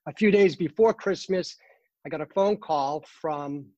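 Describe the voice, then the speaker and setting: A man in his fifties with a Boston accent, a guest in a casual interview, talking earnestly with many pauses and fillers. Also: on the high side, darkish tone, no echo, lo-fi sound. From Boston, Massachusetts. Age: 50s. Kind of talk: casual interview over a call.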